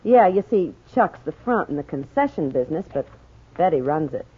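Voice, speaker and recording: Streetwise coy nightclub singer, deep female voice, drawling, slangy, 1940s radio acting, muffled narrow recording. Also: big pitch swings, normal speed, slightly rough, full character voice. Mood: knowing, guarded.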